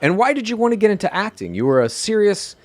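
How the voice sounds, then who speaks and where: A man's voice: high voice, big pitch swings, fast, dry recording. A man hosting a mock talk-show interview, playfully incredulous and often breaking into laughs. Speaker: comedy podcast host playing interviewer.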